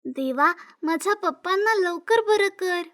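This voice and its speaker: A woman voicing a timid, devoted little daughter in an animated moral story. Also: very high-pitched, unhurried, full character voice. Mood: tender, devoted.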